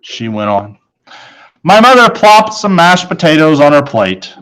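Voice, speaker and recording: Slow, deliberate man reading a children's story. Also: on the high side, big pitch swings, a bit bright, poor audio. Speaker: storybook narrator. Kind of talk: scripted children's story narration, first person.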